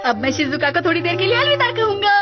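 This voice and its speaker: A woman's voice: very high voice, sing-song, rapid-fire. A woman voicing a whiny, melodramatic, lovesick young schoolboy in a cartoon dub. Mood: stubborn. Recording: dull lo-fi audio under loud music.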